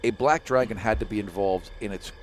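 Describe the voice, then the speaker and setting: A man's voice: fairly low pitch, a little fast, a little hiss or hum. A man narrating a tabletop game as game master, improvising dramatic descriptions with casual asides. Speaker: game master and player on an actual play podcast.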